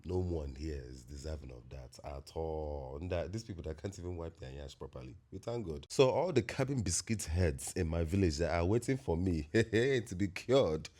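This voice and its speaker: Very deep-voiced Nigerian man. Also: animated, moderate pace, hardly any pauses, a little mellow. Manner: reflective, preachy, emphatic, with sudden high-pitched bursts. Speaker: host. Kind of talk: spiritual monologue.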